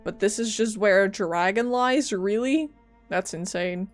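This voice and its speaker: A woman's voice: medium pitch, a little slow, soft background music. A woman streaming a video game, chatting casually and reacting to the story as it plays. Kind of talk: casual gameplay reaction commentary.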